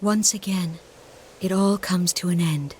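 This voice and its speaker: A woman's voice: on the low side, steady tone, unhurried, darkish tone, slight background noise, natural voice. An actress playing a mysterious, knowing woman with a cryptic, formal manner in a video game cutscene. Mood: enigmatic. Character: mysterious, knowing woman welcoming someone back.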